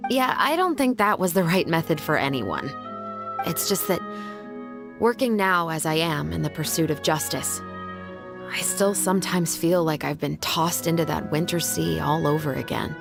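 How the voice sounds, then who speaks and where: A resolute young female patrol officer in a video game. The voice sits fairly low for a woman, measured and earnest, with formal, composed phrasing. Music is clearly audible underneath. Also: animated. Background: background music.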